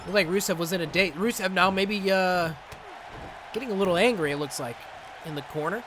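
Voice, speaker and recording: A young man doing excitable play-by-play commentary over a wrestling video game, coughing and saying he is losing his voice. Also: high-pitched, fairly quick, some chatter behind, a little hiss or hum. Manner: excitable, rising to shouts at big moments. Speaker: gaming channel host and commentator.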